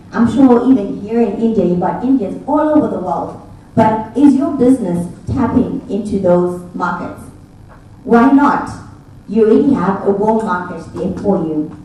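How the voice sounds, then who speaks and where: Woman presenting at a conference, mellow and measured, heard from far off in a big hall. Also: mid-range voice, slight background noise. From Zimbabwe. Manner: measured, with frequent fillers. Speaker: conference speaker. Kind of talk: business presentation. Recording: echoey hall, mic from far off.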